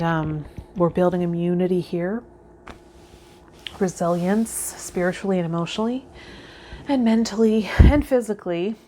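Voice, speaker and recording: Lower-pitched woman guiding viewers, noisy background. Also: mellow. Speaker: tarot reader and vlog host. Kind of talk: casual spiritual guidance talk to viewers, partly read out.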